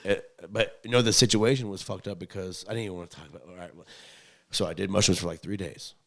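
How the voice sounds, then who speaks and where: Low-voiced young man, slangy and profane. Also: quick pace, creaky. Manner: slangy, profane, animated when acting out quotes. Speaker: podcast host. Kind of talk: casual rambling storytelling chat.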